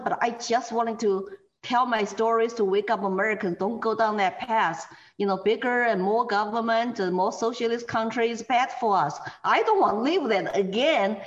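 A fairly high-voiced woman with a Chinese accent talks earnestly and with animation, often restarting with fillers along the way. Her voice comes through a muffled, lo-fi line. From China. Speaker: guest, former political candidate. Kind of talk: interview over a call.

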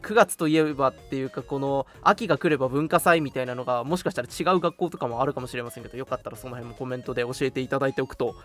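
A young man hosting a casual live radio chat, talking politely and chattily with soft hedges between announcements. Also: mid-range voice, rapid-fire, few pauses, faint music behind. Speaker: radio show host.

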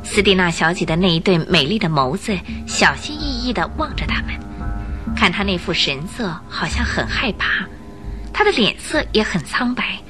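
A woman narrating a scripted Chinese radio drama in an expressive storytelling style. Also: medium pitch, normal speed, over music.

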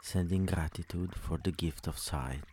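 A man with a very deep, dark and relaxed voice guides a sleep meditation. He speaks slowly and soothingly, leaving long gaps between phrases. Faint noise like trickling water runs behind him.

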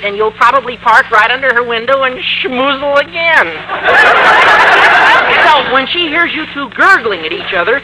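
An actor playing an excitable, scheming high school boy with a squawky adolescent voice in an old-time radio comedy. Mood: wheedling, nervous. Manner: gushing, stammering, eager. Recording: faintly noisy vintage radio recording.

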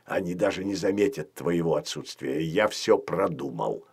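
A man voicing a friendly, practical young male dragon in a children's story, with a soft, drawn-out delivery. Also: deep voice, creaky, slightly rough, pitched below the performer's own voice, exaggerated voice. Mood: calm, reassuring.

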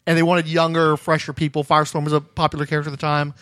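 A man's voice: on the high side, monotone, very fast, fairly bright tone, no echo. A man hosting a casual comic-book chat, explaining things in knowledgeable detail. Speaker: podcast host interviewing a friend.

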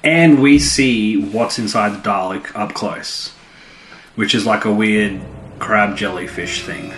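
A man's voice: on the low side, slow, a bit bright. A man co-hosting a podcast, commenting on television episodes in a casual, informal and wry way. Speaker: podcast co-host. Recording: echoey room, faint background noise.

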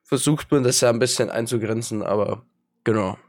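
A man's voice: mid-range voice, a little fast. Young man with a cold, rambling. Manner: rambling, hesitant, self-mocking. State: has a cold. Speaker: podcast co-host. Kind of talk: casual chat.

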